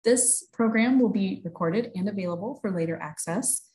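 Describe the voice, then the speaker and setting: A woman's voice: mid-range voice, moderate pace, dark tone, poor audio. A woman in her thirties hosting a webinar with a semi-formal introduction, speaking over a video call from an office. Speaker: webinar host. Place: small indoor office. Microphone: video call, computer microphone.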